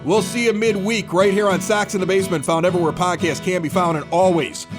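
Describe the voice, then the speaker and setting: A man's voice: high-pitched, fairly even intonation, fast talker, over music. A middle-aged American man hosting a sports podcast, giving opinionated, emphatic conversational commentary. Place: home basement studio.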